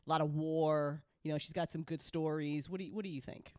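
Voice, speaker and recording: A woman's voice: low voice, fairly even intonation, brisk, a little mellow, dry recording, clean studio sound. A woman hosting a casual chat with guests, playful and gushing, happy to swear. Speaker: host and audio drama writer.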